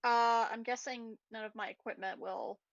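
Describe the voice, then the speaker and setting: Woman player chatting at rpg night, lots of vocal fry, dry kinda dull sound. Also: a little high, steady tone, moderate pace, dry recording. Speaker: player in a tabletop game. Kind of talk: casual game table talk.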